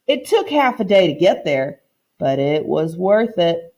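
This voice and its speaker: Woman reading as proud older woman storyteller, unhurried. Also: fairly low pitch, sing-song, a little mellow. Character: proud, earnest older Southern woman recounting her community's history.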